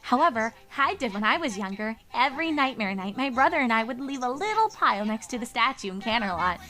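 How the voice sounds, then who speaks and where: A woman's voice: on the high side, very animated, quick pace, pushed and bright. A woman playing a studious, earnest young female pony in a fan audio drama, speaking precisely with an orderly, admonishing manner. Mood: reminiscing. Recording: music behind the voice.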